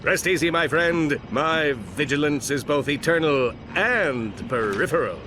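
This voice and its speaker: A boastful, dim superhero, bombastic and goofy. His somewhat high voice bounces all over. It is a game cutscene. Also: lively intonation, unhurried, heavy background noise. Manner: bombastic, goofy, panics loudly.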